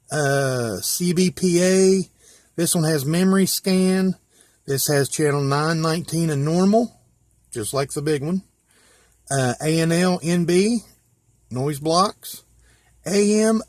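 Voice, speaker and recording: An American man with a slightly high voice talks through his hobby gear in a casual, folksy manner. He explains things plainly, one step at a time. The sound is dry, with little room echo. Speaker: hobbyist presenter. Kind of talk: casual hands-on gear walkthrough.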